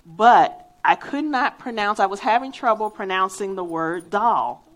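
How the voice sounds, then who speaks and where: Woman preacher, faint Philadelphia accent, earnest and emphatic, slow measured delivery, mid-pitched voice. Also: animated. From Philadelphia, USA. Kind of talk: sermon with personal storytelling.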